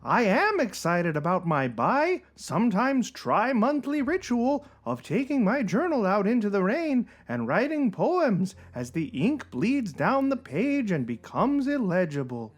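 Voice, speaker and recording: A gloomy, self-pitying diarist with a very high voice. The delivery swoops theatrically through wordy, melancholy sentences, as in a dramatic cartoon reading. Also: male voice, sing-song, measured pace, few pauses, exaggerated voice. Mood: mournful, wistful. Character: gloomy, mopey young male diary writer who loves rain.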